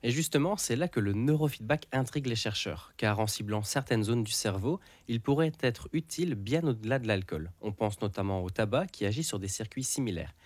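A male co-presenter reads a scripted health segment in a clear, measured way. His voice sits on the low side, and the recording is dry and close. Nothing of the room comes through. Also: lively intonation, no echo. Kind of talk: scripted narration.

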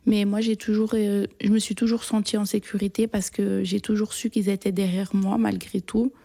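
Young female interviewee, mellow and level, informal, hesitant delivery. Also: medium pitch, flat delivery, normal speed, gravelly, breathy edge. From Belgium. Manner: hesitant, searching for words. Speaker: interview guest. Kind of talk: casual, personal interview talk.

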